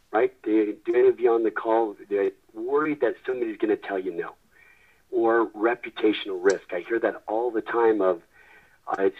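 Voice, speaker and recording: Raspy man on a phone line. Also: lowish voice. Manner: earnest, repetitive restarts. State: raspy from a head cold. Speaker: webinar presenter, company founder. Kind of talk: sales webinar presentation.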